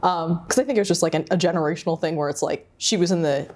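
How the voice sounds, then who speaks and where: A young adult woman interviewing casually as a co-creator, effusive and animated in the way she tells things. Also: on the low side, very fast, slight background noise.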